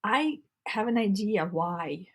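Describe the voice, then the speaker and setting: A woman co-hosts a relaxed spiritual podcast in a husky, raspy voice that sounds congested in the throat. She talks earnestly and often circles back to restart her phrases. Her pitch sits in a middle range. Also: slow. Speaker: podcast co-host. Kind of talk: casual spiritual chat.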